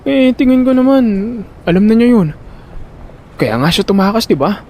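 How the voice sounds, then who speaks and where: A man's voice: sits high, animated, moderate pace, warm tone. A man with a Filipino accent playing a panicky, talkative older brother who narrates his own story in an audio drama and gets easily flustered and exasperated. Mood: defensive. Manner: flustered, stammering when pressed, exasperated.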